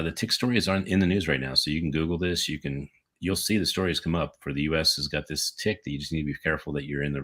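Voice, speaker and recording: Very deep husky American man. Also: fairly bright tone. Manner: rambling, jokey, self-correcting. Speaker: podcast co-host. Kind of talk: casual chat.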